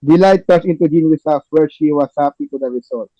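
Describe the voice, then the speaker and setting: A man's voice: on the high side, a little fast, no echo. A man reading stage directions as the narrator of a scripted table read, in a dull lo-fi recording.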